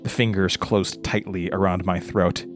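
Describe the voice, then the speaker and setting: An actor playing a haunted, frightened man recounting a horror ordeal in a halting, intense way, as in an audio drama. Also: low voice, level tone, faint music behind. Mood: haunted, tense. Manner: halting, stammering over words, intense. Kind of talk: first-person horror audio drama narration.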